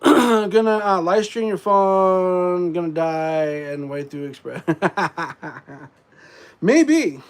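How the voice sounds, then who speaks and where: A congested man rambling casually on a live stream, full of fillers and frequent throat clearing. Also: sits high, lively intonation, extremely slow.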